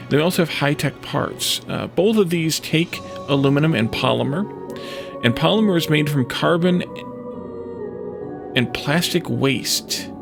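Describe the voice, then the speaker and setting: Gravelly-voiced man narrating his gameplay. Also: a little high. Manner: thinking aloud, musing to himself. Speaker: let's play host. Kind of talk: casual gameplay commentary.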